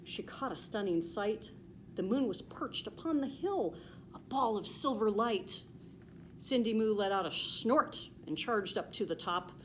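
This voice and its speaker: An American woman reading a children's storybook aloud with expressive, emphatic, sing-song rhyming delivery. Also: mid-range voice, slight background noise, rough recording.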